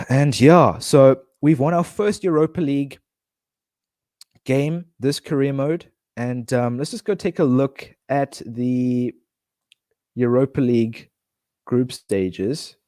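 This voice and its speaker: A man's voice: medium pitch, slow pace, a little mellow, no room sound. A man streaming a football manager game, talking casually and rambling with restarts, his nose blocked. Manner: chatty, rambling, many restarts and fillers. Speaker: gaming streamer.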